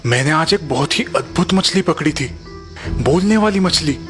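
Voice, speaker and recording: Kindly cartoon fisherman husband, earnest, slightly high voice, reverberant, music behind. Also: animated, moderate pace, fairly bright tone. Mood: gentle, puzzled. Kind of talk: children's cartoon voice acting. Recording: reverberant echo under background music.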